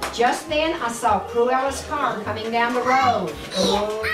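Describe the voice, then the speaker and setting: An older woman reads a storybook aloud to a small child, expressively and with little explanatory asides. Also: high-pitched. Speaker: grandmother reading to a child. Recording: roomy, distant mic, music behind. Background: music.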